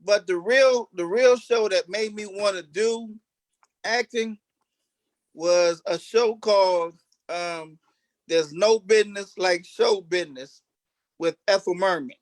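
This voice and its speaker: Slow-paced, high-voiced man telling stories. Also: dry recording. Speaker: stage actor being interviewed. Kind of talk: casual storytelling.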